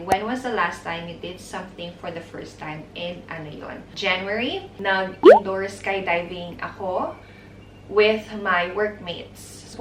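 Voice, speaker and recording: A woman's voice: mid-range voice, noisy background. Young Filipino woman vlogger, casual chatty delivery, recorded off-mic in an echoey room. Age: 20s. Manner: chatty, mixing Tagalog and English, giggly asides. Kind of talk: casual Q&A vlog. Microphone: camera mic. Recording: roomy sound, mic at a distance.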